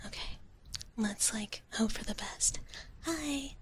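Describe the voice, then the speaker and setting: A woman whispering softly and breathily in a gentle ASMR livestream chat, close to the microphone. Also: a little high, husky. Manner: slow, gentle, speaks of herself in third person as a dragon character. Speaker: live streamer hosting ASMR.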